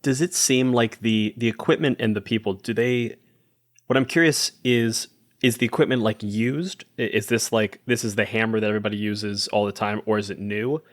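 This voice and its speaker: A man's voice: on the low side, fairly even intonation, normal speed. A man talking casually as a player in a tabletop roleplaying game, thinking aloud and rewording as he goes. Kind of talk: casual roleplay talk, describing his character's actions.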